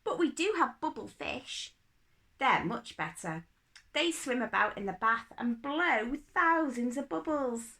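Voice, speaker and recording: An imperious little storybook princess, acted out by a woman reader, airy and fanciful. She sounds haughty and emphatic, with a bright, animated voice that leans high. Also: a little slow, exaggerated voice. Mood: bossy.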